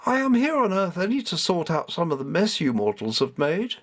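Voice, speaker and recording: A man's voice: high-pitched, wildly swooping pitch, brisk, voice pushed up, exaggerated voice. A man voicing a commanding, formal, intimidating yet caring female cat goddess in a storybook reading. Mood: lofty, firm.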